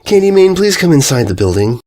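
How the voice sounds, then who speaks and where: A man plays a pony schoolmarm from a storybook. The voice is raised in pitch and lively, polite and patient as it instructs. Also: a little fast.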